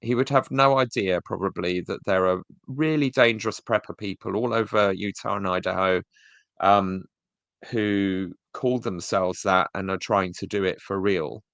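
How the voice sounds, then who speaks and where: A man's voice: sits low, fairly even intonation, moderate pace, creaky, fairly bright tone, no echo. A man co-hosting a video-call show, giving blunt, sardonic commentary on church talks. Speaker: co-host reviewing church talks. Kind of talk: casual critical commentary.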